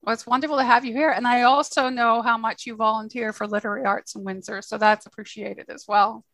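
A woman's voice: fairly high pitch, steady tone, brisk, fairly bright tone, dry recording, rough recording. A woman from Canada co-hosting a podcast, reading a guest introduction and asking questions in a friendly way. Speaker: podcast co-host.

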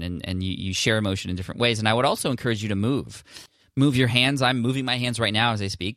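Male podcast host, low voice, dry sound, relaxed encouraging advice with restarts. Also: no room sound. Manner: conversational, encouraging, restarts often. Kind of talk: casual advice monologue. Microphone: podcast microphone, standing.

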